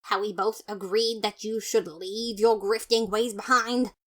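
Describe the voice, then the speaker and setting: A woman's voice: medium pitch, fairly even intonation, unhurried, close to an everyday voice. Woman playing an indignant, pompous skeleton brother. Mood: indignant, scolding. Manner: formal, indignant, emphatic. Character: exasperated, pompous, upright skeleton younger brother.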